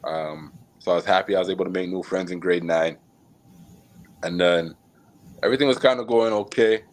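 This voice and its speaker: A man from Jamaica, a podcast guest, telling his life story in a relaxed, reflective way with many fillers and small laughs. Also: sits low, normal speed, slight background noise. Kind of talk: casual storytelling interview.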